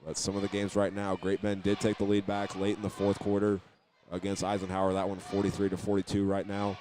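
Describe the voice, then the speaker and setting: A man's voice: sits low, monotone, brisk, a little mellow. A man giving live play-by-play commentary of a basketball game in short, plain descriptive phrases. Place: indoor high school basketball game. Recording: live gym recording with background noise.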